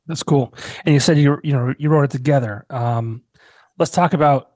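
Podcast host, mid-pitched man, curious and friendly, dry mic. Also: moderate pace, darkish tone, no echo. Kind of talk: interviewing. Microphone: video call.

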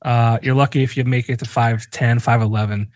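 A man's voice: medium pitch, fairly quick, dry recording. A man in his forties from northeastern Pennsylvania, hosting a podcast and telling self-mocking stories in casual chat. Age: 40s. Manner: animated, self-mocking storyteller who swears freely. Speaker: podcast host.

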